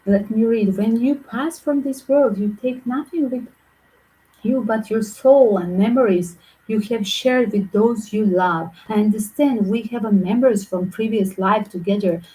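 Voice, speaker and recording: A woman from Southern Europe with a mixed Greek and Yugoslav accent, giving a tarot reading in quick, chatty, non-native English. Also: on the high side, dark tone, poor audio. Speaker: tarot reader.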